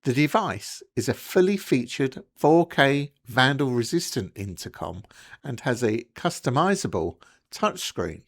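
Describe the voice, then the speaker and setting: A man presenting a technical support tutorial, reading scripted narration in a clear, instructional way, one step at a time. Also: medium pitch, big pitch swings, a little slow.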